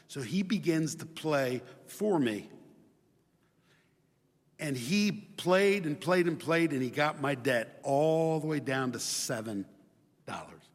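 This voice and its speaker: A man from Chile preaching a sermon, mixing scripture with personal stories and repeating phrases for emphasis. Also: medium pitch. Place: large hall, speaking live to a congregation. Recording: reverberant large hall.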